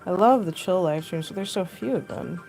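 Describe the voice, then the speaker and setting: A young woman with a deep voice, chatting casually and rambling with lots of fillers while she sculpts on a live stream, recorded on a phone. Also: animated, normal speed, gravelly, a little mellow, faint music behind, rough recording. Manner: rambling, filler-heavy, laughs often. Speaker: costume artist streaming live.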